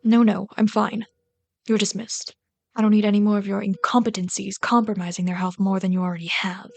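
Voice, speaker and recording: A woman's voice: medium pitch, level tone, a little fast, gravelly, no room sound, natural voice. A woman playing a bitter but caring villain queen in an ASMR roleplay, scolding and sardonic, often exasperated. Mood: impatient, commanding. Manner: scolding, sardonic, exasperated, dropping to hushed asides. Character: bitter, caring female villain rat queen tending a captive sidekick. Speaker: voice actor in an audio roleplay.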